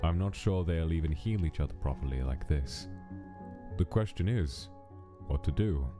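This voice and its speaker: A man voicing an aloof skeleton scientist in a fan comic dub, speaking in a measured, analytical way. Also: extremely low pitch, lively intonation, over music. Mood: detached.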